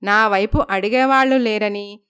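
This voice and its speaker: A woman's voice: medium pitch, moderate pace, a bit bright, clean tone, close to an everyday voice. A woman voicing a worried young wife who reproaches her husband in a storybook tale. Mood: reproachful. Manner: reproachful, pleading. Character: wronged young first wife, anxious.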